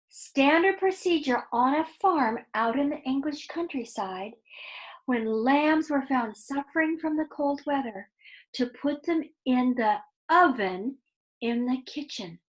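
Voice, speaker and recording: A woman reading a children's story aloud in a deliberate, explanatory way, with asides to young listeners. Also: sits high, lively intonation, low-quality recording.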